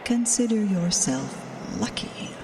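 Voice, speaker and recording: Slow, whispering wind spirit played by a woman. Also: medium pitch, animated, recorded from a distance. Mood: brooding. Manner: breathy whispering, slow solemn phrases. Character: the Wind, a female elemental spirit, stern, brooding, weary. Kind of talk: audio drama acting. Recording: noisy, set back from the mic.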